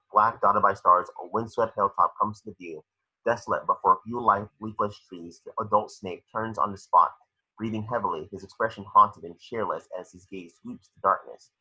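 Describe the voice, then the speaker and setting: A man narrates stage directions from a script. His voice is low and a bit raspy, with a hard, very bright edge. The recording is a little dull.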